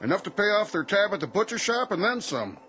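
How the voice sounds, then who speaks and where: A man's voice: sits high, very animated, quick pace, pushed and bright, full character voice. A man playing a cheery, grateful, folksy shop customer in a radio drama. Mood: cheerful.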